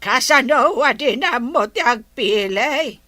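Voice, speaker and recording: A woman playing a slow-spoken village grandmother. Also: sits high, lively intonation, sharp tone, dry recording, full character voice. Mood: scolding. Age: older. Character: older village grandmother, sympathetic. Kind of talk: radio comedy drama acting.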